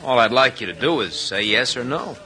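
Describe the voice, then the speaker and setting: A man's voice: mid-range voice, animated, brisk, bright, forceful tone, faint music behind. A man playing an eager, insistent young college-educated swindler in a radio drama, slick in manner, on an old recording. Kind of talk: 1940s-50s radio drama acting. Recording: noisy vintage radio recording.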